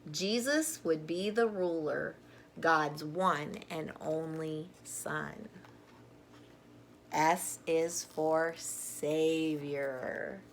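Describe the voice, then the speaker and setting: A woman's voice: low-pitched, extremely slow, slight background noise. A woman storyteller reading a children's story aloud with simple, emphatic phrasing and informal asides to young listeners.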